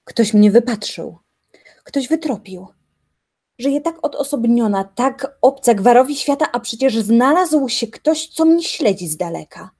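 An actress playing an obsessive, indignant young reclusive philosopher in a radio drama, brooding aloud with dramatic deliberation. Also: female voice, a little high, lively intonation, unhurried, warm tone, breathy edge, exaggerated voice. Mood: uneasy, brooding. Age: young adult. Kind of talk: theatrical radio drama monologue. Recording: music behind the voice.

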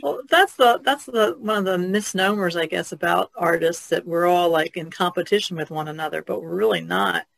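Woman with a low, creaky voice. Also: moderate pace, hardly any pauses, a bit bright, dry recording. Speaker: artist, podcast guest. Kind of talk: casual reflective chat.

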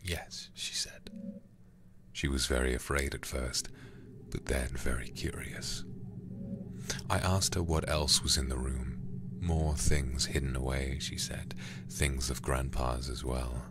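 A man reading a horror game aloud in ASMR style, hushed, breathy and deliberate, close to the microphone. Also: very deep voice, fairly even intonation, background noise. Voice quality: breathy, whispery. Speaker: livestreamer playing a horror game.

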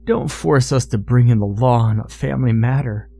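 A man voicing a level-headed older father-in-law in a story, pleading gravely and earnestly. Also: mid-range voice, normal speed, warm tone.

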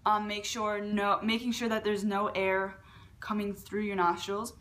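A woman's voice: flat delivery, a little slow, a bit bright. Chatty young woman teaching beatbox. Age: young adult. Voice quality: lower voice for a woman. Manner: chatty, with fillers and restarts. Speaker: beatbox tutorial host. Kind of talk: casual video tutorial. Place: large living room at home.

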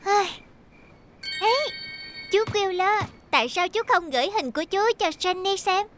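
A woman's voice: very high voice, expressive, unhurried, a bit bright, slight background noise, exaggerated voice. A woman voicing a caring, polite young female ambulance in a dubbed children's cartoon. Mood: helpful, bright. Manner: polite, reassuring. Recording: children's music behind the voice. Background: children's music.

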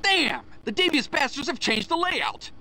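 A man's voice: very high-pitched, big pitch swings, normal speed, pushed and bright, slight background noise, exaggerated voice. An actor playing a pompous, easily flustered male gang boss in a video game. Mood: outraged.

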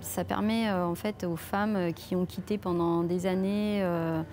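A woman's voice: fairly low pitch, steady tone, a little slow. A woman in her thirties from France, a head chef answering interview questions with many fillers and restarts, filmed in a leafy outdoor courtyard on a lapel mic. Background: soft background music.

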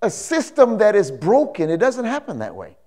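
A man's voice: high-pitched, huge pitch swings, moderate pace, darkish tone. A man in his sixties preaching as a pastor, telling anecdotes emphatically, repeating phrases and checking that listeners agree. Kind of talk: animated sermon with anecdotes. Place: indoor church stage, speaking to a congregation. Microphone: lapel mic.

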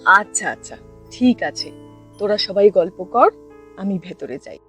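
Woman acting kindly, lively village mother. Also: mid-range voice, measured pace, slightly rough, faint music behind. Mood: welcoming. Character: kindly middle-aged mother welcoming her son's friends. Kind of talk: cartoon voice acting.

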